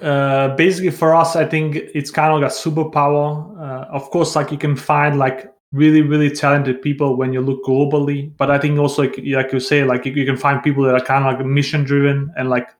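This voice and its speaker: A man with a mid-pitched voice talks in a roomy, echoing space. He is an interview guest, speaking informally and hesitantly in a fairly flat tone, with plenty of filler words. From born in Bosnia, grew up in Denmark. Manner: informal, hesitant, frequent "like" and "you know". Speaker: interview guest, tech company founder. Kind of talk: casual podcast interview.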